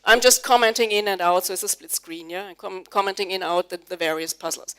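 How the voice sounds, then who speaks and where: A woman's voice: medium pitch, fairly even intonation, a little fast, bright, forceful tone, clear, resonant tone. A middle-aged woman whose first language is German, giving a live technical talk to a conference audience and polling the listeners with questions. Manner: explanatory, polls the audience with questions. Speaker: conference speaker, Java trainer. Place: conference hall before an audience.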